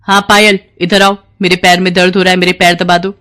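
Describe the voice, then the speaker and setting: A woman voicing a bossy, greedy young sister-in-law who makes demands in an animated moral story. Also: lowish voice, brisk, lightly acted.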